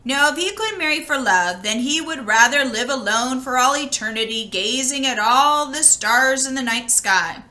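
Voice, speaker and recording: A woman reads a storybook aloud in a high, bright voice. Her delivery is expressive and conversational, with the chatty feel of someone sharing the book with listeners. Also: low-quality recording. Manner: expressive, conversational, pausing to comment. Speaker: storybook reader.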